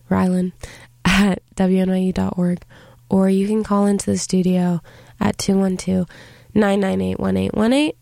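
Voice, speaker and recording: A woman's voice: medium pitch, creaky, warm tone, dry recording. A woman hosting a college radio show from a studio, talking casually with many fillers while congested from a cold. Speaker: radio host. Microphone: studio microphone.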